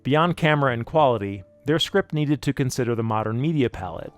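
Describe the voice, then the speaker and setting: A man delivers scripted explainer narration as the narrator for a video production. His voice sits at a middling pitch, with music playing softly underneath. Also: lively intonation, brisk. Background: background music.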